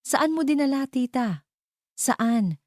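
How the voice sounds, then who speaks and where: Woman narrator as betrayed young woman, raised pitch, accusing, fierce, emphatic, audio drama storybook, dry sound. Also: lively intonation, takes it slowly, darkish tone, no echo. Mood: bitter, accusing. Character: betrayed angry young niece, wounded and fierce.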